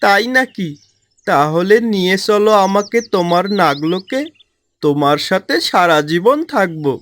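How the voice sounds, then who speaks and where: A man's voice: high-pitched, expressive, a little slow, a bit bright, a little hiss or hum. A man playing a greedy, sweet-talking young cowherd in a cartoon story. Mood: wheedling.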